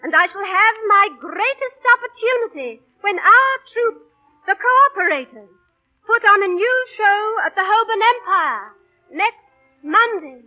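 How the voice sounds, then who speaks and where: An eager young female street performer in a vintage radio drama, with a very high voice. She is bossy and puts on grand airs of ambition. The old recording is dull and lo-fi. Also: lively intonation, unhurried, faint music behind. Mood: self-important. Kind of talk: 1930s-40s radio acting.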